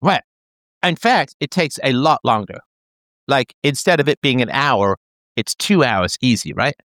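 A man's voice: medium pitch, measured pace, no echo, studio quality. A man in his thirties in a casual chat, explaining an idea playfully as if talking to a child. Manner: animated, playful, talks as if to a child.

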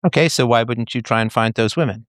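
A man's voice: medium pitch, quick pace, dry recording. Dry-sounding man pressing with questions. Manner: questioning, pressing with logical follow-ups. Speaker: host interviewing a caller. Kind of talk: probing conversational interview.

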